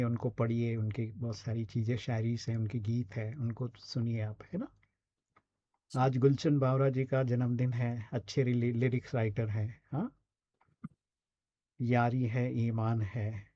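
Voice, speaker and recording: A man's voice: medium pitch, warm tone, lo-fi sound. A man with an Indian accent coaching in a conversational, explanatory way, often checking in with short questions. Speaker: voice and radio scriptwriting coach. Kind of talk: conversational online teaching.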